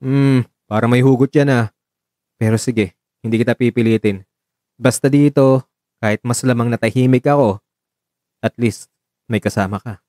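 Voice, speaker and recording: Man acting a mellow, caring young suitor. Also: medium pitch, steady tone, moderate pace, long pauses, no room sound, studio quality, close to an everyday voice. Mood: light, teasing. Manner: gentle, reassuring, conversational Taglish. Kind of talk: radio drama acting. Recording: voice over background music.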